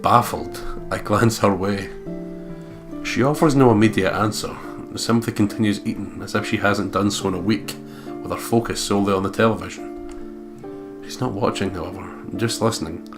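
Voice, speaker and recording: A low male voice reads visual novel narration aloud as a let's play host, with casual, playful asides. Clearly audible guitar music plays underneath him. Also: steady tone, normal speed. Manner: playful, breaks into laughter and asides.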